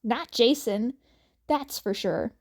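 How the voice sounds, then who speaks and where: A woman reading fan fiction aloud as an amateur narrator, in an informal, slightly halting way. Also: on the high side, animated. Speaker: amateur podfic reader.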